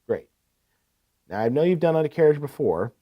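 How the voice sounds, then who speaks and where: A man's voice: fairly high pitch, big pitch swings, brisk. A man playing a courteous, attentive equipment salesman in a scripted business phone call, asking probing questions. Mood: businesslike, helpful. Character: courteous, eager male heavy-equipment salesman.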